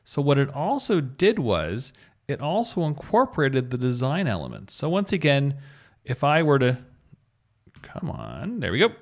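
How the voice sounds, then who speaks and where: A man with a mid-pitched voice that moves up and down in an animated way. He presents an informal screencast tutorial, talking through each step as he works and spelling out code terms. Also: moderate pace. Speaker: web design tutorial presenter.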